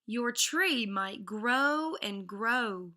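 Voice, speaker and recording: A worrying storybook mother, voiced by a woman narrator, full of dramatic, wry warnings. She speaks slowly, in a high and bright voice. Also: lively intonation, heavily acted. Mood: exasperated. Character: skeptical, worrying mother of a small girl. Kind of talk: children's storybook character reading.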